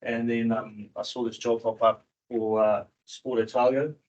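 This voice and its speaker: A man from South Africa who moved to New Zealand in his teens, giving a candid, informal personal talk to an audience in a halting, repetitive way. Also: mid-range voice, little pitch movement, darkish tone. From South Africa, moved to New Zealand in his early teens. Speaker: seminar speaker, cricket coach and former professional cricketer. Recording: dull, muffled seminar recording.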